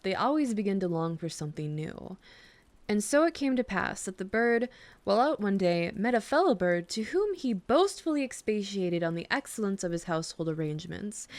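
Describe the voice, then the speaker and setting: A woman speaking softly and soothingly in an intimate, gently teasing ASMR bedtime roleplay, close to the microphone. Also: mid-range voice, no echo. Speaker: ASMR roleplay performer playing a girlfriend. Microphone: close microphone, dry sound.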